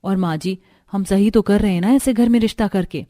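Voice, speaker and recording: A woman's voice: medium pitch, brisk, warm tone, dry recording, studio quality, lightly acted. A woman voicing an outspoken, indignant young daughter-in-law from a poor family in a cartoon story. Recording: music under the voice.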